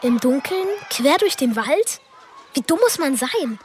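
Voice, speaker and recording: A woman's voice: high-pitched, very animated, measured pace, slight background noise. An actress playing a cheeky, inquisitive schoolboy in his early teens in a radio drama.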